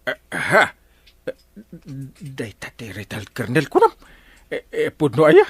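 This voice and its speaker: A man's voice: medium pitch, wildly swooping pitch, slow pace, breathy edge, heavily acted. An actor with an Ilocano accent playing a boastful, flustered male village captain in a comedy radio drama, stammering and blustering.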